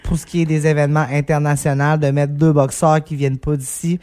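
Québécois co-host guy, agrees a lot, short bits, kinda high voice. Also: level tone, brisk, a little mellow, clear, resonant tone. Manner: agreeable, short replies and backing comments. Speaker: radio show co-host. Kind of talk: casual sports radio chat.

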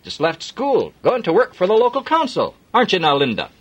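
A man voicing a jovial, chatty middle-aged businessman with a broad Yorkshire accent, booming and talkative. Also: wildly swooping pitch, brisk, exaggerated voice. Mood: hearty.

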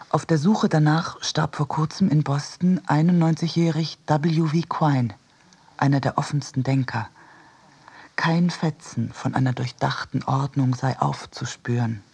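Husky, gravelly man reading a literary essay. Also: mid-range voice, measured pace, darkish tone. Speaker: reader in a radio production.

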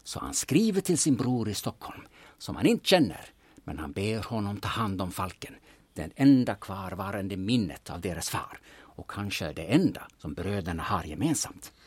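An actor playing a cynical, blunt, secretive grave robber in an audio drama, telling things in a dry manner. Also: male voice, on the low side, big pitch swings, slightly rough, dry recording. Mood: intense storytelling.

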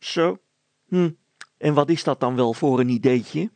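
A man's voice: medium pitch, a little slow, darkish tone, dry recording, voice pushed up, close to an everyday voice. A man voicing a suspicious middle-aged wife and mother in a story, scornful and interrogating.

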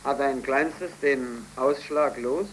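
An actor playing a downcast young working man in a 1930s German radio play, speaking in short halting phrases. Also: mid-range voice, steady tone, close to an everyday voice. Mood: curt, restrained. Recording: noisy 1931 radio recording.

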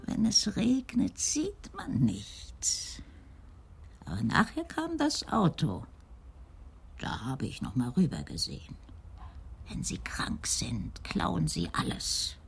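A frail old woman in a radio crime play. Her low voice is very husky and breathy, rising and falling widely as she rambles in halting, simple sentences, lonely and frightened. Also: huge pitch swings, in a whisper, heavily acted. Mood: confiding. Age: older. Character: frightened, frail, lonely old Dutch widow, chattering about neighbours. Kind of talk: radio crime drama acting.

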